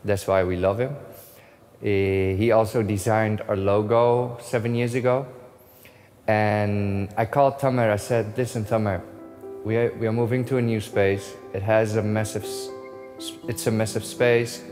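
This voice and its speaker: Store owner, man from the Netherlands, low voice, lively casual tour narration over music. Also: normal speed. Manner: enthusiastic storyteller, acts out phone calls. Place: inside a large shop.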